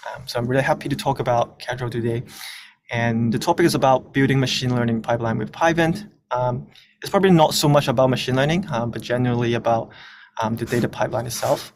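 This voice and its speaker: A man's voice: mid-range voice, fairly even intonation, fast, crowd noise. A young man from Hong Kong giving an informal, somewhat hesitant technical presentation over a video call. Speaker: conference speaker, software engineer.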